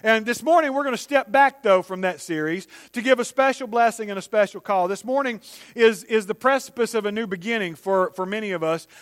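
A man's voice: high voice, a little fast. A man preaching a conversational sermon, often restarting his sentences. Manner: conversational, with many restarts and acted-out quotes. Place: church. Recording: long church-hall reverb.

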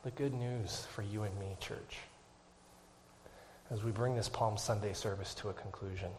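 A male pastor preaching a sermon to his church, swinging from hushed, whispered lines to loud, impassioned climaxes and closing in prayer. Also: fairly low pitch, fairly even intonation, moderate pace, a little hiss or hum, low-quality recording.

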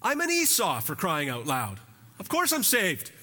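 A complacent churchgoer, smug and singsong, pitched up high and lilting as he brushes off any doubt, played by a man. The voice preens with exaggerated self-assurance. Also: wildly swooping pitch, measured pace, bright, forceful tone, long reverb tail, full character voice.

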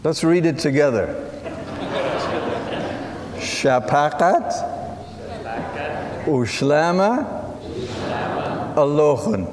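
A man whose first language is Assyrian, preaching a sermon in a measured, unhurried way and inviting listeners to read along. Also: on the high side, sing-song, crowd noise. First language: Assyrian (Syriac). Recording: echoey hall with long reverb and faint ambience.

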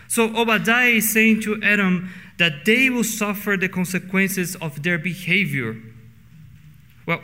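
A middle-aged man from Brazil with a Brazilian Portuguese accent, preaching a sermon earnestly and slowing down for emphasis. He speaks English as a second language. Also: sits high, pushed and bright, long reverb tail. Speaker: pastor. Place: church sanctuary.

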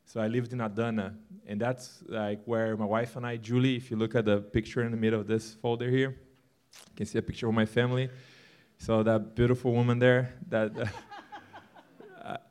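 A man from Brazil speaks with a Brazilian Portuguese accent, earnest and animated as he lays out a vision for a new church. His pitch sits in the ordinary middle range for a man, and he mixes in light self-deprecating humor. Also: normal speed, smooth. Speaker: church planter. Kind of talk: church presentation talk.

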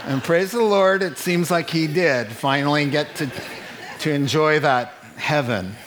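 A middle-aged man preaching a sermon in an animated way, acting out the people he quotes and laughing at his own jokes. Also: a little high, measured pace, noisy background. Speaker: pastor.

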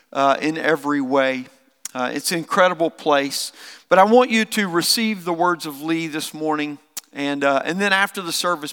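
A man's voice: a little high, fairly bright tone, clean tone. A man, the host church's pastor, introducing a guest speaker in a folksy, joking way. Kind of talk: sermon introduction. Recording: church hall reverb.

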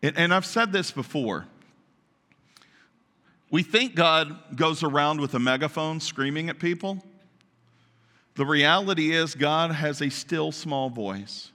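Loud preacher guy, high sharp voice, keeps asking the crowd questions, big church reverb. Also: lively intonation, slow, long pauses. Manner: naturally loud, emphatic, asks the congregation many questions. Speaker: pastor. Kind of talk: sermon. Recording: long reverb of a large hall.

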